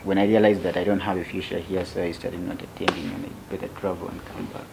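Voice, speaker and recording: Ugandan man in his twenties, interview guest; very low, gravelly voice, slow and soft-spoken, faint hiss behind. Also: flat delivery. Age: 20s. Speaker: young tech founder, interview guest. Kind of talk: interview answers.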